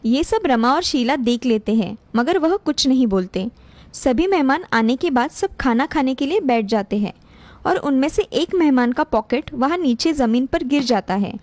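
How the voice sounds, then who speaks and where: A woman's voice: high-pitched, expressive, normal speed, a little mellow, dry recording. A woman narrator reading a scripted cartoon family story.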